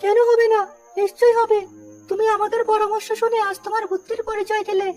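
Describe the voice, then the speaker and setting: A woman's voice: very high-pitched, flat delivery, fast talker, darkish tone, soft background music. A woman voicing a small, pleading male bird in a children's cartoon. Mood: eager, flattering.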